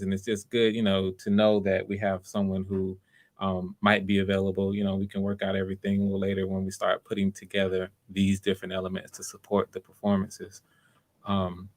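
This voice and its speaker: A man hosting online auditions in a casual, conversational way, with an enthusiastic and encouraging manner. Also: fairly low pitch. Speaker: host of online voice auditions, casting for a project.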